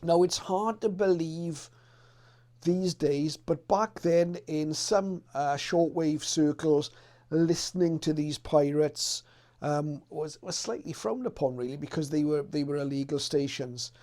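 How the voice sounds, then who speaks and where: A middle-aged man with a voice a little higher than most. He talks casually to his viewers as a hobbyist looking back, pausing and feeling his way through in a thoughtful manner. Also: darkish tone. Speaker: radio hobbyist presenter. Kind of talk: casual reminiscing explainer to viewers.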